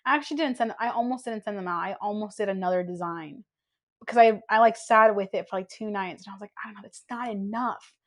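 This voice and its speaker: A young woman co-hosting a podcast, chatting casually with a friend in an expressive, theatrical way and laughing often. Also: medium pitch, fast talker. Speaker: podcast co-host. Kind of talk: casual chat between friends.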